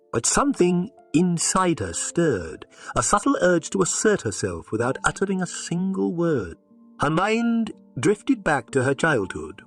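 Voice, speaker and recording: Animated male storyteller with a husky edge. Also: fairly high pitch. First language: English. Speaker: narrator. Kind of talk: scripted story narration.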